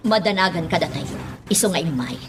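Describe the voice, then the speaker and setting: A woman's voice: fairly low pitch, lively intonation, fast, distant mic, natural voice. A woman with an Ilocano accent playing a hospitable, worried household woman in a radio drama. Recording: off-mic radio drama over clear music.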